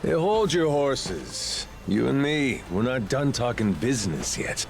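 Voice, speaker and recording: An actor playing a confident, easygoing and persuasive pirate captain in a video game, talking in a cocky, casual, unhurried way. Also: male voice, mid-range voice, big pitch swings, gravelly, slight background noise. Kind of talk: dramatic video game voice acting.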